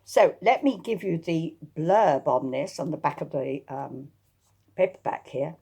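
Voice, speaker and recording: A woman reads her own historical mystery as its author. Her voice sits on the low side, with a gravelly creak. The delivery is expressive, scripted storytelling. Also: measured pace. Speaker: author reading own novel.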